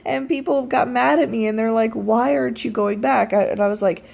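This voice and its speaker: A woman of thirty-two telling stories casually to viewers, animated and wry, with self-deprecating asides and free swearing. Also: on the high side, fairly quick, vocal fry. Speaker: vlogger. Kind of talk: casual storytelling chat to viewers.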